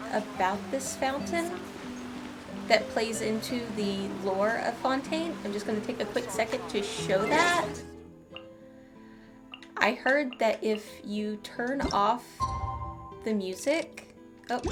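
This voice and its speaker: A woman giving casual, playful playthrough commentary as a gaming streamer, with little sing-song asides. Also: on the high side.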